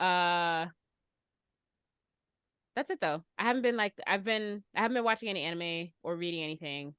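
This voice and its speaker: A woman's voice: fairly low pitch, moderate pace, fairly bright tone, smooth, no room sound, studio quality. A woman chatting casually as a podcast co-host, with an informal, giggly manner. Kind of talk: casual chat.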